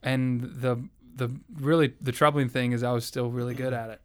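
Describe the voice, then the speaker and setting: A man's voice: mid-range voice, flat delivery, normal speed, clean tone. A young man from the South, earnestly and reflectively telling his faith story in an interview. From American South. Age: young adult. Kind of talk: personal testimony in conversation.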